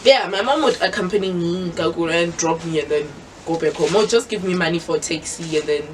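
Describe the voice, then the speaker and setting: A woman's voice: fairly low pitch, brisk, far from the mic, a little hiss or hum. A young South African woman, a native Sepedi speaker, telling her story as a guest in a casual interview and mixing English with Sepedi.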